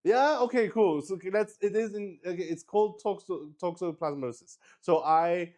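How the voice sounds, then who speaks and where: A man's voice: sits high, moderate pace, a little mellow. A man hosting a casual livestream, thinking aloud and rambling in a hesitant way. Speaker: streamer hosting a solo tabletop roleplay stream. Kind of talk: casual improvised livestream chat.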